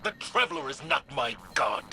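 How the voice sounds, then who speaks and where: An actor playing a weary, haunted military commander in a video game, speaking with grave earnestness. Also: male voice, mid-range voice, sing-song, normal speed, gravelly. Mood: troubled. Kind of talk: scripted video game drama.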